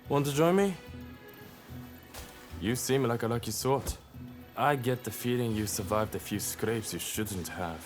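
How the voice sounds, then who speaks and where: An actor playing a roguish, carefree young samurai in a video game, with a casual, teasing manner. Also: male voice, mid-range voice, a little slow, long pauses, natural voice. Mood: playful, inviting. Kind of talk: video game cutscene acting. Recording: music clearly under dialogue.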